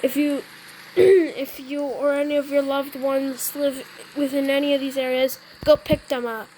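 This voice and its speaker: Woman, high voice, amateur gameplay role-play, improvised delivery, light background noise. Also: steady tone, a little slow, warm tone, low-quality recording. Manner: stumbles over read-out wording, often clears throat. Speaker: gamer playing out an emergency alert scenario. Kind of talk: casual gameplay role-play with improvised mock weather bulletins.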